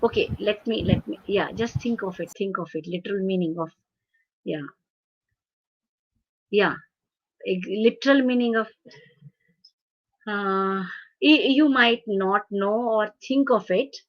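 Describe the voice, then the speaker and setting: A woman from India with an Indian English accent and Marathi as her first language, lecturing on translation. She talks haltingly, with many fillers and restarts. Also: mid-range voice, dull audio. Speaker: webinar speaker on translation. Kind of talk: lecture with audience questions. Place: video call webinar.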